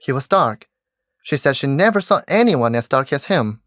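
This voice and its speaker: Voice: medium pitch, very animated, normal speed, fairly bright tone, no echo, studio quality. An actor as a regal, commanding fairy monarch. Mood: conversational, amused. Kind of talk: Shakespearean verse stage acting.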